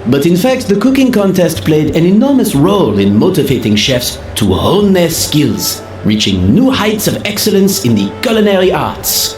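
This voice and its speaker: An actor playing a genteel, jovial hotel gentleman with florid, theatrical phrasing in a video game. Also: a little high, big pitch swings, normal speed, few pauses, soft background music. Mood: grandly persuasive. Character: flamboyant, genteel older male hotel gentleman, jovial and ornate. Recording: roomy echo, faint background noise.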